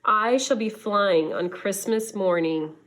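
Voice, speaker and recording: Flightless boy penguin for a picture book, voiced by a woman: earnest, wistful, unhurried. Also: mid-range voice, a bit bright, poor audio. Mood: hopeful. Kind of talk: children's storybook character.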